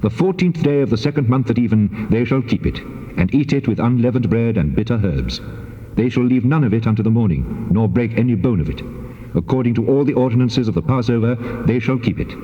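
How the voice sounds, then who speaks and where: An actor playing God as a solemn, commanding deity giving laws in a stately, measured dramatized scripture reading. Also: male voice, medium pitch, animated, close to an everyday voice. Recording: dull cassette tape, long cavernous reverb.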